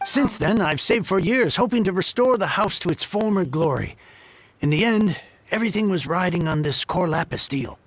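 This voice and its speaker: A man's voice: high-pitched, lively intonation, normal speed, no room sound. A man playing an effusive, formal heir of a fallen merchant family in a video game, speaking earnestly and wordily. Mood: grateful, earnest.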